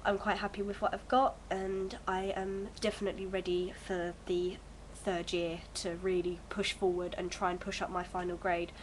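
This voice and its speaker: A woman's voice: fairly low pitch, level tone, normal speed, hardly any pauses, slight background noise, low-quality recording. A young woman in her early twenties, bunged up with a cold, chatting at home to her vlog camera in a rambling, hesitant way full of fillers. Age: early 20s. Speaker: vlogger. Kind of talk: casual chat to camera.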